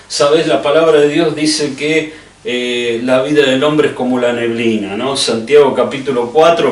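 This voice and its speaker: A middle-aged man with a Rioplatense Spanish accent preaching conversationally, addressing the listener directly and earnestly with rhetorical questions. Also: mid-range voice. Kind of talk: conversational sermon. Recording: echoey room, distant microphone.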